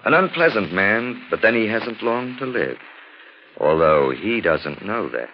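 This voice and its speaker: A man's voice: fairly low pitch, big pitch swings, a little slow, slight background noise. An actor playing an urbane criminologist, narrating his case with wry, theatrical polish in 1940s radio mystery style. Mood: wry, ominous. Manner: suave, wry, theatrical storyteller. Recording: dull 1940s radio recording.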